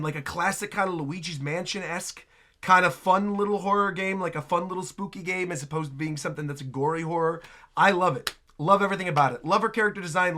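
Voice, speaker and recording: A man hosting a casual, enthusiastic game reaction, excitable and prone to repeating phrases. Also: high-pitched. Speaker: reaction video host. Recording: music playing behind the voice.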